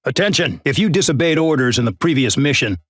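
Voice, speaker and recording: An actor playing a harsh middle-aged colonel who commands a penal squadron, barking orders and threats with open contempt in a video game. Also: male voice, medium pitch, animated, quick pace, fairly bright tone, no echo, studio quality. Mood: contemptuous. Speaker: commanding officer giving mission briefings.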